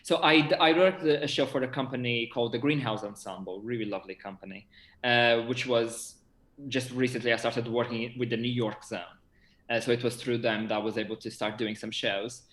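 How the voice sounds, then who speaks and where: A man's voice: mid-range voice, a little fast, fairly bright tone. A young man chats as a theatre director being interviewed. His manner is effusive and enthusiastic, and the sound is that of a video call from an echoey room. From Portugal. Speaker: guest, theatre director and actor.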